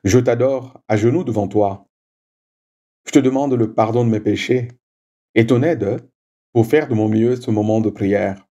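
A man whose first language is Swahili, leading a prayer and giving a slow, measured homily with frequent little "hein" asides. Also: medium pitch, warm tone, highs cut off. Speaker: preacher.

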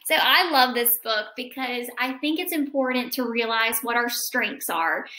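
A woman's voice: a little high, moderate pace, pushed and bright, slight background noise. An American woman, around her thirties, reading a picture book aloud to children and chatting about it as a teacher would. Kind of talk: expressive picture-book read-aloud with comments and questions to children. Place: living room at home, indoors. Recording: roomy indoor home recording.

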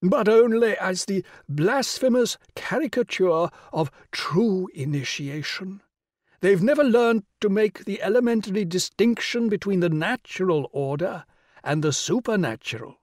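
A man's voice: expressive, no room sound, clean studio sound. A man voicing an imperious royal older woman on the phone, rich and emphatic, dwelling on words. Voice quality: rich, emphatic, high put-on voice. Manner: grand, insistent, drawn-out words. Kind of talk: audiobook character voice.

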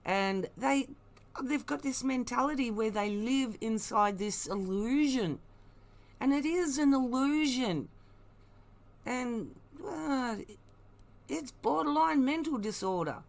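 A woman's voice: high-pitched, expressive, takes it slowly, gravelly. Blunt woman commentator. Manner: blunt, emphatic, mocking. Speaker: online commentator. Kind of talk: opinionated unscripted commentary.

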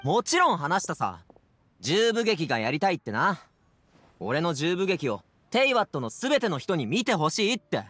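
A man's voice: on the high side, big pitch swings, moderate pace, a bit bright, no room sound. A man voicing an easygoing but headstrong young man in an anime game, speaking in a casual, youthful way. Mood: frank, resigned. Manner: casual youthful masculine speech.

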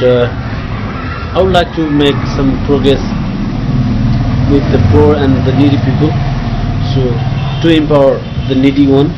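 A young man with a Nepali accent gives a self-introduction. His mid-pitched voice is caught on a muffled outdoor recording with loud noise. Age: 20s. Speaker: general manager of an aid association. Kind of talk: spoken self-introduction to camera. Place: outdoors in front of a building. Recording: very noisy, muffled camera sound.

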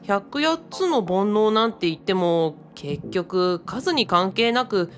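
Young female assistant for radio drama woman acting: courteous, modest, level-headed, with lively melodic delivery. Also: medium pitch, takes it slowly. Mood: explaining, wry. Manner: courteous, animated.

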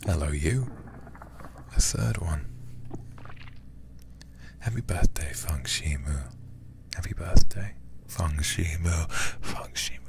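A man streaming a game as ASMR, reading aloud and chatting softly in an intimate, soothing, breathy way, close to the microphone. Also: a bass voice, expressive, slow pace, long pauses, background noise. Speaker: livestreamer playing horror games.

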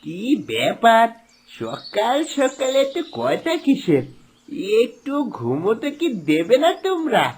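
A woman's voice: fairly high pitch, wildly swooping pitch, takes it slowly, darkish tone, slightly rough, exaggerated voice. A woman voicing a bewildered village husband and devoted son in a cartoon, questioning and plaintive. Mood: grumpy. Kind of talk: cartoon voice acting.

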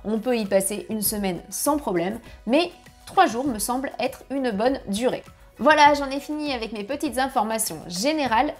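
A woman in her thirties giving travel tips to camera from her home office, lively and chatty, on a lapel mic. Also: on the high side. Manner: lively, chatty, gesturing with hands. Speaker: family travel vlogger. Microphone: clip-on lapel mic.

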